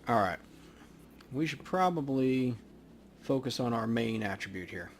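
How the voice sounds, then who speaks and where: A man's voice: mid-range voice, animated, a little slow, slight background noise. A man hosting a let's play, giving casual, laid-back gaming commentary as he thinks aloud.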